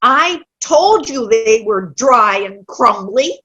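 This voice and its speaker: A cranky elderly widow in a stage comedy reading, acted by a woman. Her voice is high, sharp and very animated. She complains bluntly, stubborn and dismissive, at an unhurried pace. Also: slightly rough, dull audio, heavily acted. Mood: grumpy. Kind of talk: amateur play reading. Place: online video-call play reading.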